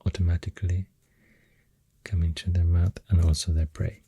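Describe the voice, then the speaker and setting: A soft-spoken ASMR host with a Swedish accent, rambling gently through facts in a hushed, breathy, whispery voice with unhurried pauses, close to the microphone. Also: low-pitched, flat delivery, dry recording. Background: pencil writing and clicking sounds.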